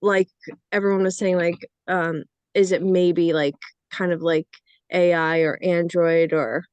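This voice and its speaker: A woman's voice: lowish voice, level tone, a little slow, smooth, dry recording. Hesitant woman giving informal feedback, dry recording. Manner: hesitant, peppers speech with "like". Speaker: script reading panellist. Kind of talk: informal spoken feedback on a script.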